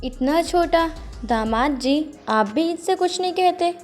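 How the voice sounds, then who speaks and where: Woman acting high-voiced scolding mother. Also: animated, measured pace, soft background music. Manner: reproachful, motherly admonishing. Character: exasperated, scolding middle-aged mother of married daughters.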